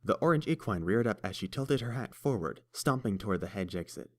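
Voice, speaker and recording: A man narrating a story in a steady, even storytelling manner. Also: lowish voice, fairly quick. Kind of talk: fan audiobook narration.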